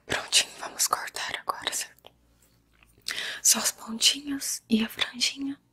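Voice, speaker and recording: A young woman with a Brazilian Portuguese accent speaks in a breathy whisper, soft and reassuring, in an unhurried ASMR hairdresser roleplay. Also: fairly high pitch, huge pitch swings. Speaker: ASMR creator playing a hairdresser. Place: small room at home, indoors.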